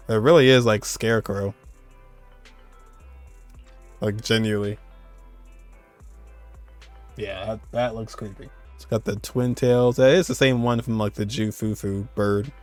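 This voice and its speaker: A man giving informal, reactive game commentary, thinking aloud in a casual way. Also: on the low side. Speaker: gaming commentator. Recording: faint game music behind. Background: game music.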